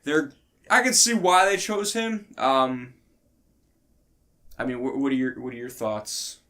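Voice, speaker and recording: A man's voice: mid-range voice, a little slow, sharp tone. A man co-hosting a podcast, talking in a casual, conversational way with friends. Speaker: podcast co-host. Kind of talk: casual group podcast chat.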